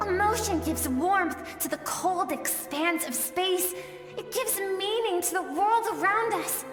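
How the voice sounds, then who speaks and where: A woman voicing a game character, the gentle suppressed emotional self of a swordswoman, speaking softly and earnestly with pleading warmth. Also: extremely high pitch, slow. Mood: pleading, impassioned. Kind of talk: video game voice acting. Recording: very echoey with long reverb, music behind.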